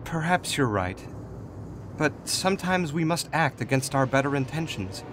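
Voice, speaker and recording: A man's voice: fairly high pitch, animated, close to an everyday voice. A man as Raphael, composed calculating god, music behind. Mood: calm, gently chiding. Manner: measured, formal, articulate, diplomatic. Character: Raphael, composed, calculating male god in authority, gently chiding. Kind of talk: scripted fantasy audio drama acting.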